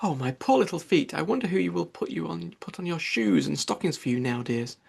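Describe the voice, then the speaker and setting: A man's voice: a little high, animated, fairly quick, vocal fry, slightly rough, pitched above the performer's own voice, full character voice. A man voicing an anxious, chattering young girl from a storybook, musing fretfully to herself. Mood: bewildered, chattering. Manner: muses aloud to self, fretful. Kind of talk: storybook character voice.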